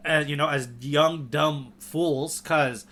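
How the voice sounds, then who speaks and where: Male podcast co-host, casual and encouraging, bright tone, slightly high pitch. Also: steady tone, takes it slowly. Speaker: podcast co-host guiding a manga readalong. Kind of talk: casual chat.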